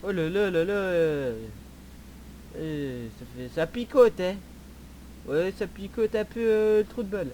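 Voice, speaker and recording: A man's voice: sits high, unhurried, warm tone. A man improvising roleplay banter in an online game in a teasing, crude, clownish manner, often repeating his phrases. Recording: faint background noise.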